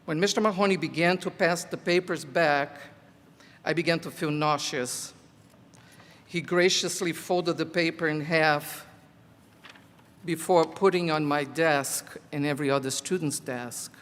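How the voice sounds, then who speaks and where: Slow, earnest older man in echoey hall. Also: on the high side, steady tone, a bit bright. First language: Portuguese. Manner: slow, deliberate, earnest delivery. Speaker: commencement speaker, teacher. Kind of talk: commencement speech.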